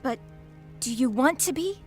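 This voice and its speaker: A highish female voice over light music, a nurse in a radio drama. She is firm and blunt, confronting and insistent by temper. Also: animated, measured pace, darkish tone. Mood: stern, insistent.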